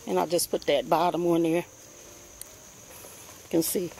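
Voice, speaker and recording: A woman's voice: on the low side, little pitch movement, unhurried, gravelly, a little mellow, a little hiss or hum. Chatty woman filming her garden. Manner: chatty, rambling, homey. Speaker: vlogger showing her garden. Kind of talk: casual chat to camera while gardening. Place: backyard outdoors, then indoors by a window.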